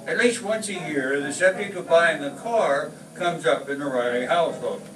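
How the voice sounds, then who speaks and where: A man's voice: on the high side, normal speed. A man announcing in the style of a 1940s radio sponsor spot. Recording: echoey room, distant mic, faint music.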